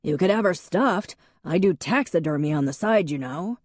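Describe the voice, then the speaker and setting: A woman's voice: lowish voice, expressive, normal speed, darkish tone, no room sound, studio quality. A woman reading an audiobook, voicing a matter-of-fact middle-aged man.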